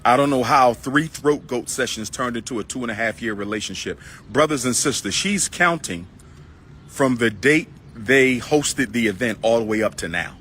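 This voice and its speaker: A man's voice: medium pitch, moderate pace, fairly bright tone, a little hiss or hum. A middle-aged man hosting a livestream, preaching and ranting to viewers he calls brothers and sisters, then chatting with a caller. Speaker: livestream host. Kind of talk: heated livestream rant and caller chat.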